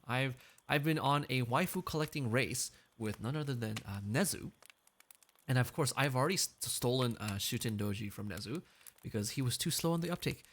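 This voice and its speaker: A man's voice: medium pitch, gravelly, fairly bright tone. A man streaming karaoke, chatting casually between songs, joking and reading chat messages aloud with a playful manner. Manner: joking, reads chat aloud, frequent laughs. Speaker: VTuber streamer.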